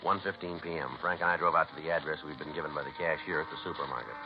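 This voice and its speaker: An American man playing a terse, matter-of-fact police detective in a 1950s radio drama, with a clipped, deadpan, businesslike delivery. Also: very deep voice, rapid-fire. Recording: noisy, muffled 1950s radio recording.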